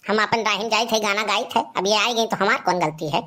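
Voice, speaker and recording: A woman's voice: mid-range voice, a little fast, bright, forceful tone, close to an everyday voice. A woman voicing a cheeky, carefree young village man with an Awadhi accent, protesting in a comedy cartoon. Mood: defiant.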